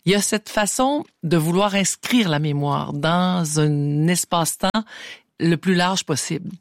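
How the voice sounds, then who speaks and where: A man's voice: fairly high pitch, measured pace, no echo, clean studio sound. A man with a Québécois accent, an interview guest, speaking earnestly and repeating words as he searches for them. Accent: Québécois French. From Quebec. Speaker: guest, preface writer. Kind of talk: conversational interview.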